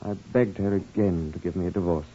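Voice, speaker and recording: A man playing a genteel, uneasy gentleman under suspicion, speaking formally and courteously in an old-time radio crime drama. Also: low-pitched, fairly even intonation, brisk. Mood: indignant. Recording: dull lo-fi old radio recording.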